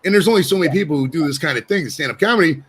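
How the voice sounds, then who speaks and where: A brash man in his forties hosts a podcast from his home studio. He talks quickly and rambles, cursing freely, and his voice sits a touch higher than most men's. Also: expressive, a bit bright, breathy edge. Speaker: podcast host. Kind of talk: casual chat.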